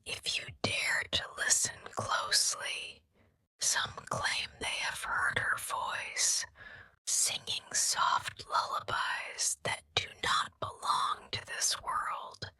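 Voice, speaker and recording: A woman whispers a gothic story slowly and softly, right up against a close microphone. Her whisper is husky and airy, with a gravelly edge, and the room sounds dry and still. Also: a bass voice, huge pitch swings, no echo. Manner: slow breathy whisper throughout. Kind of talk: whispered ASMR story narration.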